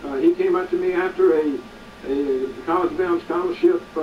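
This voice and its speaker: Indignant man delivering a preachy commentary. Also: on the high side. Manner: indignant, preachy, many hesitations. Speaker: community activist hosting a local show. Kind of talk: editorial commentary to camera. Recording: distant, dull, slightly noisy recording.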